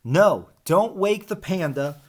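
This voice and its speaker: A man's voice: sits high, slow. A man teaching young children, reading a picture book expressively and chatting informally with his listeners. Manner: expressive rhyming delivery, chatty asides addressing children. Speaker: elementary school teacher reading aloud.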